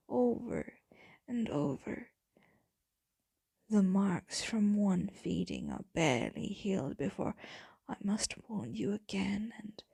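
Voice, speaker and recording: A devoted, sorrowful vampire woman, played by a female voice actor murmurs to her lover in an ASMR roleplay. Her voice is very husky and breathy, slipping between whisper and gravelly fry. It sounds close and dry, with a tender, brooding temper. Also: mid-range voice, no room sound, heavily acted. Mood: tender, grateful, guilty. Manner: slow, brooding, hushed delivery. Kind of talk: intimate ASMR roleplay monologue.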